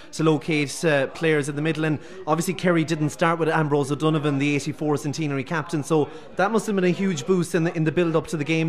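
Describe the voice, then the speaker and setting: A middle-aged Irish radio host interviewing guests live from a busy pub on a close handheld mic. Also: male voice, a little high. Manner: brisk, leading questions.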